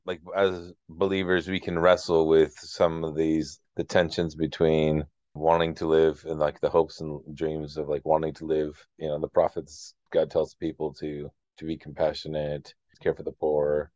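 A man co-hosting a podcast in casual discussion, halting and rephrasing as he thinks aloud. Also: very deep voice, little pitch movement, darkish tone, dry recording. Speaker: podcast co-host. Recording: dull lo-fi close dry audio.

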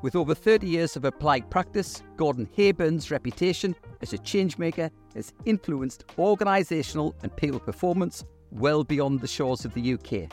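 A man hosting an interview podcast, speaking in formal, measured phrases. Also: a little high, a little mellow. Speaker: podcast host, university lecturer. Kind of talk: scripted introduction and interview questions.

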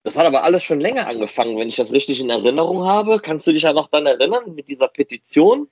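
A man's voice: fairly high pitch, animated, fast talker, darkish tone, breathy edge, no room sound. A man interviewing someone in casual chat over an internet call. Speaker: podcast interviewer. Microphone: video call. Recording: dull, dry internet call audio.

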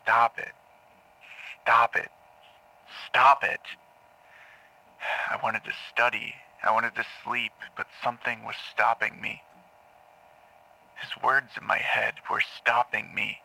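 Haunted man with gravelly, sharp-edged voice. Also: mid-range voice, flat delivery, slow pace, long pauses, exaggerated voice, low-quality recording. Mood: agitated. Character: troubled male therapy patient recounting a tormented childhood. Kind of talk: audio drama monologue on taped therapy session. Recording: old cassette tape playback.

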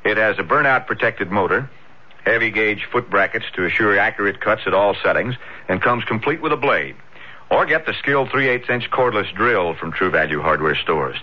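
A man's voice: fairly low pitch, lively intonation, normal speed. A man reading a scripted radio advertisement as a commercial announcer. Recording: muffled old radio broadcast.

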